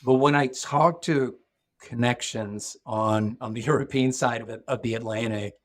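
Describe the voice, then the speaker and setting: A middle-aged man from Norway, a guest speaking thoughtfully in a podcast interview, often restarting his sentences. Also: mid-range voice. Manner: thoughtful, measured, with frequent restarts. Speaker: guest, security executive.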